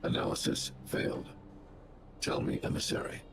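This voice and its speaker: An actor playing a giant stoic robot guardian in a game, speaking formally and logically with a robotic filter. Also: male voice, moderate pace, very noisy. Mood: cold, analytical. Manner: formal, flat machine-like phrasing. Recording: music behind the voice.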